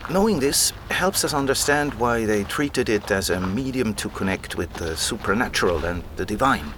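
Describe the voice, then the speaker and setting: A man's voice: lowish voice, lively intonation, moderate pace, background noise, lightly acted. An actor playing a keen, patient male scholar who explains things like a storyteller in an audio drama. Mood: engrossed, explaining. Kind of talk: conversational audio drama dialogue.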